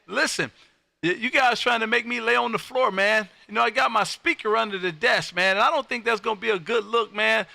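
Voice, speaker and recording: A man hosting an amateur radio livestream, chatting casually with a loud, folksy showman's manner. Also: high-pitched, brisk, vocal fry, dry recording. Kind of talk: casual radio contact chat. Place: home radio room.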